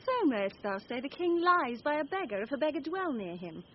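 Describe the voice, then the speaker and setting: A courtly, high-voiced page. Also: female voice, big pitch swings, a little fast. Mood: amused, curious. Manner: poised, courtly, articulate. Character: young woman disguised as a courteous, quick-witted page. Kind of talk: Shakespearean radio drama acting. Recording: dull radio recording, faint noise.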